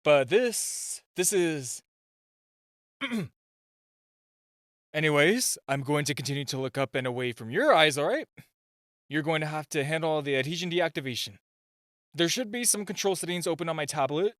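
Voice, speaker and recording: A man's voice: a little high, lively intonation, a bit bright, no echo, studio quality. A man playing a super-shy spy gadget guy in a romantic comedy audio roleplay, nervous and stammering, easily flustered. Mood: awkward, flustered. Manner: nervous, stammering, halting, self-interrupting.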